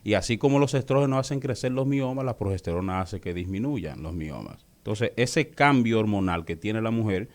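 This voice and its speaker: A male doctor explains things as an interview guest, didactic and halting, often repeating words. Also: on the low side, expressive.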